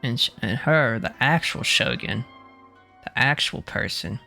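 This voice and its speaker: Male game streamer, informal and slangy, medium-pitched voice, faint music behind. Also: animated, measured pace, vocal fry, bright, forceful tone. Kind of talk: casual gameplay commentary.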